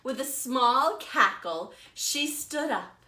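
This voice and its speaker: Bright, slow-paced American woman storyteller. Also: sits high, expressive. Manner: deliberate, dramatic emphasis. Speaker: children's librarian storyteller. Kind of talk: expressive storytelling for preschoolers. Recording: roomy echo.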